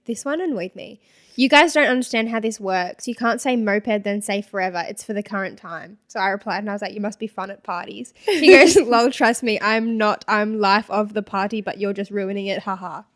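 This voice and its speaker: A woman's voice: medium pitch, brisk, fairly bright tone. A young woman with an Australian accent co-hosting a podcast, reading comments aloud with sarcastic asides and frequent laughter. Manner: giggly, sarcastic, laughs often. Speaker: podcast co-host.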